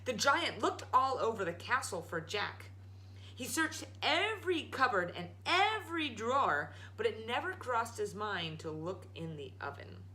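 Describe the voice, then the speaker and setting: Woman, children's storyteller, bright voice, highly animated, dramatic emphatic narration. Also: measured pace, poor audio. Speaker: storyteller, show host. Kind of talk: expressive children's story read-aloud.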